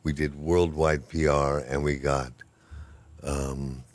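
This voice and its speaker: An older man with an extremely deep, low voice talks in a relaxed, rambling way. He is good-humored and self-deprecating, a professor chatting through an interview. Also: slow pace, no echo. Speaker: professor of Hawaiian language. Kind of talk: casual interview talk.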